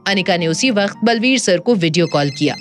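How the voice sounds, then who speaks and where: A woman's voice: on the low side, animated, fairly quick. A woman narrating an audio drama in a scripted, dramatic storytelling style. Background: background music.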